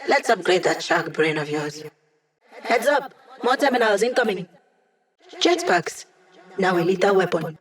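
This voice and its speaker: An actress voicing a sardonic young woman hacker who runs tech support for a squad, in video game voice lines with dry, deadpan humor. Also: mid-range voice, measured pace, pauses often. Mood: wry.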